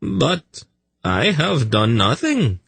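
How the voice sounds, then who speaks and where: A man's voice: mid-range voice, sing-song, very slow, creaky, a bit bright, husky, no room sound, voice pushed up, exaggerated voice. A man voicing an oily, obsequious man who pleads and protests in a fantasy audiobook. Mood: fawning. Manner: fawning, protesting.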